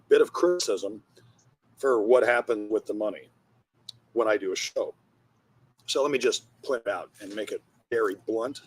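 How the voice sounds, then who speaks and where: A man giving a personal monologue in a husky voice, blunt and profane, pausing between phrases. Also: mid-range voice. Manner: blunt, profane, halting with pauses. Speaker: comedian and charity founder.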